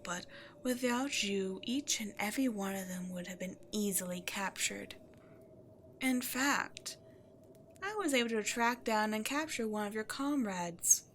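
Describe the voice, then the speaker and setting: An imperious demon queen, acted by a woman, speaks with a bright, forceful edge and slow, deliberate timing. She is seductive and haughty, a theatrical villain from an audio roleplay. There is a clear layer of noise behind her. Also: medium pitch. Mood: seductive gloating. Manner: theatrical, breathy asides, haughty. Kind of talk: scripted ASMR audio roleplay addressed to listener.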